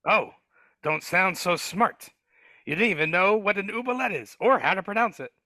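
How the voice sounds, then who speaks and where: A man's voice: sits high, expressive, normal speed, pushed and bright, dry recording, exaggerated voice. A man voicing a sly, grumbling male dwarf in a live video-call table read, hesitant and wheedling, stammering often. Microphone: video call mic.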